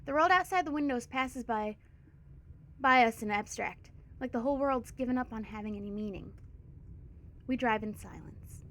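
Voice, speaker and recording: A woman with a congested voice reading the lines of a quiet, weary male drifter in a story game. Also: moderate pace, pauses often, close to an everyday voice. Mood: subdued. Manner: low, subdued reading.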